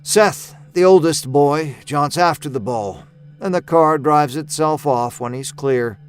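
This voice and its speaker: A man's voice: fairly high pitch, a little slow, smooth, soft background music. A man narrating a scripted first-person horror story in a wry, understated, dramatic way. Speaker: audio fiction narrator. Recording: narration over musical score.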